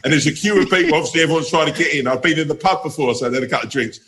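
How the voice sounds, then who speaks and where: A man's voice: on the high side, racing, fairly bright tone. A man hosting a podcast, telling a self-mocking anecdote in a casual, laughing way. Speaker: podcast host. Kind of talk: casual storytelling.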